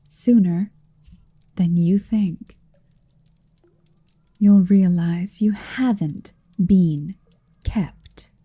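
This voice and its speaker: Dark, breathy dragoness, a woman acting, murmuring slowly. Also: fairly low pitch, no echo, full character voice. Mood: patient, possessive. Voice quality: soft, breathy. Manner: deliberate, teasing, dominant, lingering on phrases. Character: possessive motherly female dragon, tender, proud, quietly menacing. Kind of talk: ASMR roleplay, intimate slow murmuring and whispering. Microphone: close microphone, dry.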